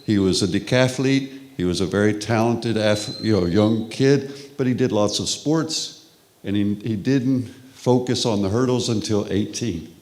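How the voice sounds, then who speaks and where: A middle-aged man from Texas with a Texan accent, giving a relaxed, conversational conference lecture with frequent restarts. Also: fairly low pitch. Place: conference hall.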